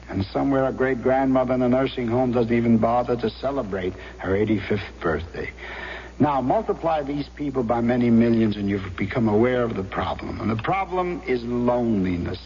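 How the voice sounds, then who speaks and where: A man's voice: mid-range voice, a little mellow. A man making an earnest, scripted public service appeal on old radio. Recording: muffled old radio with hiss, hum.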